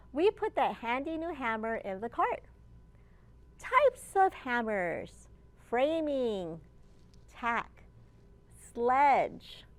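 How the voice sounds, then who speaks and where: A woman children's librarian reading aloud to young children in an encouraging storytime manner, pausing to ask simple questions. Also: on the high side. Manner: slow, encouraging, asks listeners simple questions.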